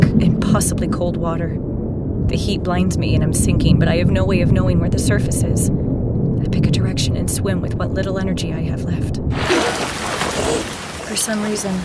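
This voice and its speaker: An actress playing a haunted young nurse, narrating her ordeal gravely and vividly in a first-person audio drama. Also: fairly low pitch, close to an everyday voice. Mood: tense. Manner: grave, measured, vivid storytelling. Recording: storm noise loud behind the voice.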